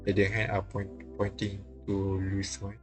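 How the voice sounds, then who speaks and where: Guy streamer reading a visual novel out loud, kinda monotone, stumbles and rereads, game music behind him. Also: low-pitched. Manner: halting, repeats and rereads lines. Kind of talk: live read-aloud translation of game dialogue and narration.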